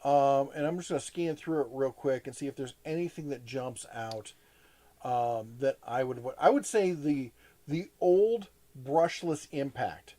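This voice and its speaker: A man's voice: mid-range voice, unhurried, mellow. A man hosting a casual live chat, rambling with frequent restarts and plenty of enthusiasm. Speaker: host.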